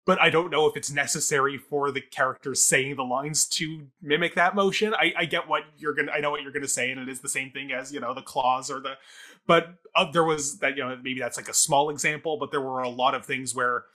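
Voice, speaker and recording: A man's voice: medium pitch, fairly even intonation, brisk, pushed and bright. A man co-hosting a review podcast, casually analytical and often qualifying his own points. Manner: tentative, self-qualifying, analytical. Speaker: theatre review podcast co-host.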